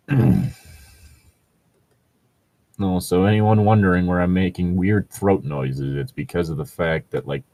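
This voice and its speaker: A man co-hosting a casual podcast, riffing and joking in a profane, giggly, rambling way with a husky voice. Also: low-pitched, a little mellow. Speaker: co-host of a podcast.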